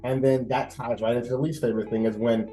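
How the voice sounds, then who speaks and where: A man in his thirties talking casually as an interview guest, animated and expressive, repeating phrases for emphasis. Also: medium pitch, fast. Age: 30s. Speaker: podcast guest, air traffic controller. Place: indoors at host's house. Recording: roomy echo, faint music behind.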